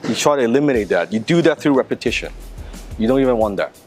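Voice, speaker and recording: A man coaching a self-defense class, talking earnestly and a bit haltingly, often restarting and repeating himself. His pitch sits in a middle range for a man. Faint music plays behind him. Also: expressive, a little mellow. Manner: earnest, conversational, with restarts and repetitions. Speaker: martial arts instructor. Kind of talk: teaching a self-defense lesson.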